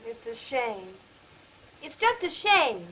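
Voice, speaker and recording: An actress playing the devoted, wry young sweetheart of a reporter in a 1930s Hollywood film. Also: female voice, on the high side, very animated, takes it slowly, a bit bright, a little hiss or hum, full character voice. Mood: tender, concerned. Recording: muffled, distant 1930s film soundtrack.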